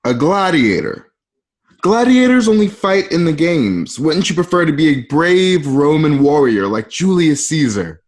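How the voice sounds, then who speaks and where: A man plays a brooding young emperor in an amateur radio drama. He is possessive and menacing, lingering over his words with a very mobile pitch and theatrical deliberation. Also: animated, a little slow, a little mellow. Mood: probing, condescending.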